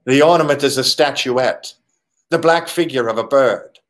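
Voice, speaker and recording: A man playing a courteous but menacing foreign gentleman crook in a 1940s-style radio drama, with formal, deliberate phrasing. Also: medium pitch, lightly acted. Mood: polite, insinuating.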